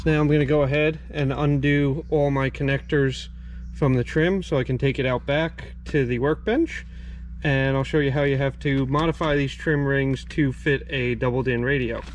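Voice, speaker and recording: A man's voice: mid-range voice, fairly even intonation, moderate pace, a little hiss or hum. A man giving a casual, plain-spoken, step-by-step how-to as he works, as the host of a DIY garage channel. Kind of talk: casual how-to narration while working.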